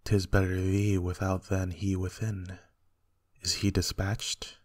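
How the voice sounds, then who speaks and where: A man playing a guilt-ridden usurper king in a Shakespearean dramatic reading, with measured, declamatory verse delivery. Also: low-pitched, monotone. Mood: brooding, uneasy. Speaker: amateur actor in a library's dramatic reading.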